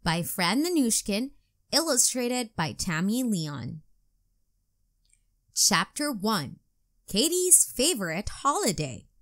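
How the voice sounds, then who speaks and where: Woman reading children's stories, very lively intonation. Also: a little high, takes it slowly, long pauses. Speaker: children's storybook reader. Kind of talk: scripted read-aloud narration for young children.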